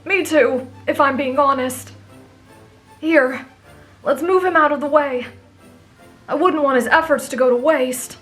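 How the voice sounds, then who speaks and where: Woman voicing a high-pitched, gentle anime boy. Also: a little slow, pauses often, faint music behind. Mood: earnest, kind. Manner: gentle, polite, patiently explanatory. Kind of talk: anime fan roleplay audio drama.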